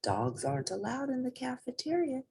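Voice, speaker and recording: A woman's voice: high voice, wildly swooping pitch, moderate pace, creaky, a little mellow, breathy edge, voice pushed up, exaggerated voice. A woman voicing a disapproving female school staffer, firm about rules, in a children's picture book read-aloud.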